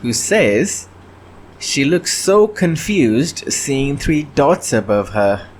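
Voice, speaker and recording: A man talks through his work in a slow, halting, casual way, as an indie game developer thinking aloud on a devlog. His pitch moves around in a lively way from an average register. There is faint noise behind him. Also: low-quality recording. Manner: halting, often clearing throat. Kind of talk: casual chat, thinking aloud while working.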